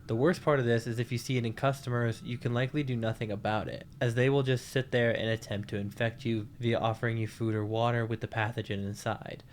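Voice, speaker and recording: A man's voice: mid-range voice, little pitch movement, quick pace, hardly any pauses, slight background noise. A young man hosting a video essay, narrating from a script in a casual, wry way with offhand jokes and the odd swear. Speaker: YouTube commentary host.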